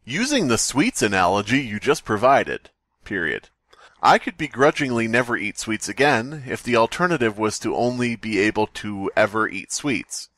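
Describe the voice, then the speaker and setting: A man's voice: fairly low pitch, very animated, normal speed, fairly bright tone, no room sound. A man co-hosting a podcast, reading aloud and riffing casually with irreverent, jokey interjections. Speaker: podcast co-host. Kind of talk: casual group read-aloud and joking commentary.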